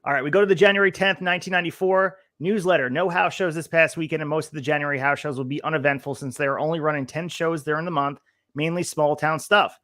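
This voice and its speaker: A man hosts a podcast in an upbeat way, reading from his notes and throwing questions to his co-host. His voice sits a little higher than most men's. The close mic sounds dry and tight. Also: steady tone, fast talker, a bit bright, dry recording. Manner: upbeat, asks questions, reads notes aloud. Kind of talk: casual chat, reading from old newsletters.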